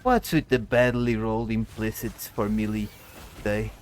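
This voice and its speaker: A man's voice: fairly low pitch, fairly even intonation, moderate pace, slight background noise. A man giving casual gameplay commentary, muttering his thoughts aloud in short fragments. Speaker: gamer narrating a playthrough. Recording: game music behind. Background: video game music.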